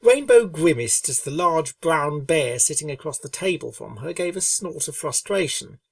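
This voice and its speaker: A woman narrating a storybook tale in a steady, expressive reading voice. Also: low-pitched, moderate pace, no echo, studio quality, distant mic. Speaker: reader.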